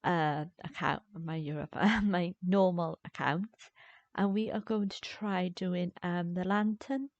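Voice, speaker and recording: A woman gives casual live gameplay commentary in a slightly low voice. She rambles as if half talking to herself. The sound is very dry and a little dull, like a basic streaming setup. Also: fairly even intonation, slow pace, dry recording. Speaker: game streamer.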